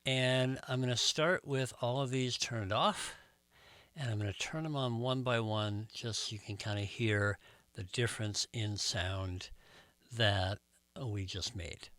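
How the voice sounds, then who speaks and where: A man giving a casual step-by-step tutorial, explaining things in a measured way with pauses. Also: medium pitch, steady tone, a bit bright, no room sound. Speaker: host of a record collecting tutorial channel.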